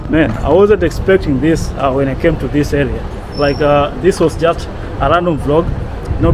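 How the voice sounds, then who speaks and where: Kenyan male vlogger, slightly high voice, chatty, busy outdoor street noise. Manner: chatty, repeats "man" and "I'm telling you". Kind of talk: casual travel vlog narration. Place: outdoors in a busy city market. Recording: noisy outdoor street recording.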